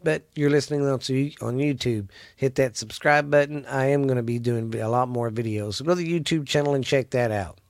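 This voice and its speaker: Stuffy laid-back Texan podcast host. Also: male voice, mid-range voice, brisk, few pauses, no room sound, studio quality. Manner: laid-back, rambling, swears freely. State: stuffy, congested. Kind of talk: casual solo podcast chat.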